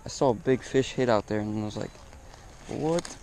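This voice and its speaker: A man chatting casually to viewers as a fishing vlogger, with an excitable, exclaiming, slangy way of talking, recorded outdoors on a body-worn action camera. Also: medium pitch, normal speed, creaky. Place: outdoors on a grassy reservoir bank. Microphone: body-worn action camera mic. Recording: outdoor action camera with faint background noise.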